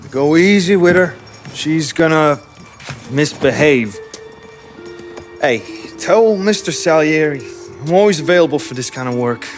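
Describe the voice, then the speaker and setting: A chummy American mechanic who hustles for the mob. His voice sits a little high, eager and familiar. It is game cutscene drama, with music clearly behind it. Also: animated, measured pace. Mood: breezy, sly. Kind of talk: naturalistic cinematic game acting.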